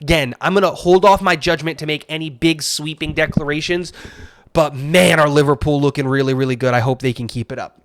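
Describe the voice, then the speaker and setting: A man co-hosting a casual sports podcast, talking in animated, opinionated bursts with plenty of fillers. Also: a little high, fairly quick. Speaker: podcast co-host.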